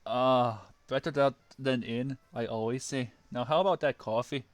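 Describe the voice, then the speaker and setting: A man's voice: medium pitch, normal speed, no room sound, natural voice. Man doing a big jovial ogre, lilting brogue, crude.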